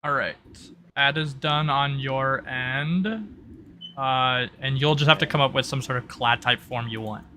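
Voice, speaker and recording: A man working as game master, explaining tabletop roleplay rules in a halting way, thinking aloud. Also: mid-range voice, fairly even intonation, bright, forceful tone, slight background noise.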